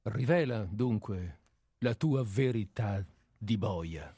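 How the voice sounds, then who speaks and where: Low, slow, sardonic interrogator. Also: male voice, lively intonation, mellow, husky, no echo, clean studio sound, exaggerated voice. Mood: scornful, grave. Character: scornful, grave intellectual man questioning his executioner. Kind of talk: radio drama dialogue.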